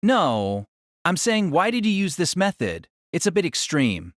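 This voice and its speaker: A woman's voice: on the low side, big pitch swings, a little slow, no echo, studio quality. A woman playing a polite, bewildered teenage boy student in an audio drama, hesitant and questioning. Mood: hesitant, subdued. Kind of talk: anime-style audio drama acting.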